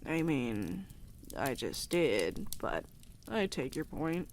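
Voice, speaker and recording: A woman's voice: a bass voice, big pitch swings, slow pace, slight background noise, full character voice. A woman playing a sardonic, irreverent commentator in a fantasy drama, dry and casual in delivery. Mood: sarcastic. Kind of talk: conversational voice acting in fantasy drama.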